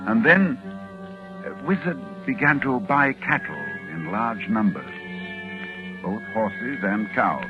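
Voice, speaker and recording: An actor playing a grave, learned doctor who narrates in formal, ominous 1940s radio style. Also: male voice, lowish voice, sing-song, slow. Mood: ominous, urgent. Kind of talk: 1940s radio acting, dramatic scripted narration. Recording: muffled, narrow vintage 1940s radio, music.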